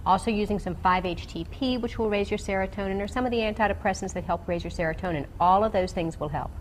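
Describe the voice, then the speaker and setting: A woman speaks as a doctor on a radio call-in show, with background noise around her. She explains medical options in technical detail, pausing as she goes. Her pitch sits in a middle range for a woman. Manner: explanatory, technical, hesitant pauses. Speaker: doctor answering callers on a health show. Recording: clearly noisy background.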